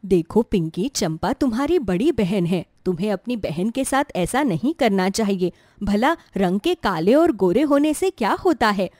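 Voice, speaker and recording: A woman voicing a caring middle-aged mother who gently scolds and soothes in an earnest way. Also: mid-range voice, animated, normal speed, hardly any pauses, dry recording, clean studio sound, voice pushed down, natural voice. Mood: reproving.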